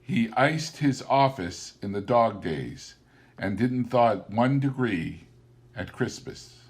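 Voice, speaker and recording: A man reading a classic story aloud as a narrator, with deliberate, theatrical and emphatic phrasing. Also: medium pitch, animated. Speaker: audiobook narrator.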